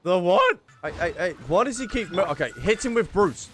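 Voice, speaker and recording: A man's voice: high-pitched, big pitch swings, moderate pace, soft background music. A man giving casual, playful gameplay commentary, joking, repeating phrases and bantering with the characters. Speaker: gaming content creator, host.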